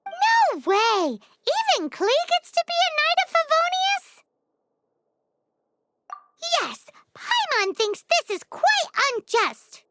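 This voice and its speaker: A woman voicing a tiny floating fairy companion in a video game, childlike, cheeky and excitable. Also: heavy background noise, exaggerated voice. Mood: indignant. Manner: squeaky, refers to self in third person. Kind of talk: animated video game voice acting.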